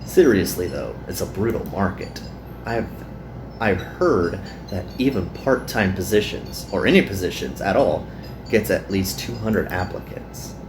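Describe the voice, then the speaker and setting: A man's voice: low-pitched, expressive, a little slow, noisy background. A man voicing a weary, self-deprecating young male worker in a visual novel. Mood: earnest, embarrassed.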